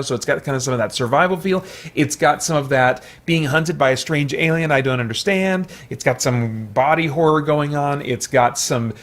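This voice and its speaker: A man giving a casual, unscripted movie review, thinking out loud in a rambling, hesitant way with repeated false starts. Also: on the high side. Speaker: movie reviewer, video host.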